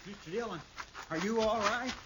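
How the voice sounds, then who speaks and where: An actor playing a loyal, worried deputy sidekick in a 1950s radio drama. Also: male voice, high voice, normal speed, music in the background, lightly acted, low-quality recording. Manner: earnest, worried. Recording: old radio broadcast with clear hiss.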